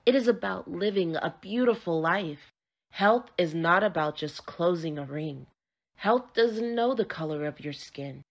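A woman's voice: fairly low pitch, expressive, measured pace. A woman voice-over artist reading scripted commercial narration.

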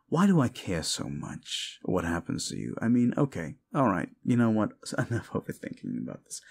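A man's voice: fairly low pitch, vocal fry, a little mellow, full character voice. A man playing a sardonic, hungry demon in an audio roleplay, talking to the listener in a condescending, theatrical, mocking way with muttered asides. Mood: exasperated, bitter.

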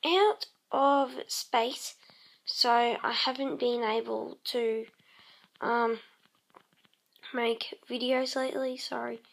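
Slow-talking croaky voice, high-pitched. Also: female voice. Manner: slow, rambling, many ums, hums tunes while playing. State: croaky, clearing throat. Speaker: let's play host. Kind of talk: casual gameplay commentary.

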